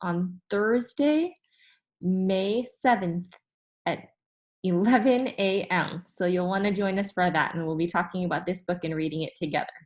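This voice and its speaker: A woman reading a children's story aloud as an expressive narrator. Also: lowish voice, moderate pace.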